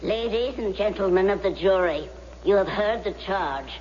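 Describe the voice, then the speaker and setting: An actor playing a formal, solemn judge in a radio drama. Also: male voice, high-pitched, animated, normal speed, darkish tone. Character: formal male judge addressing a jury. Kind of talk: 1970s radio drama acting. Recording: muffled old radio, hiss and hum.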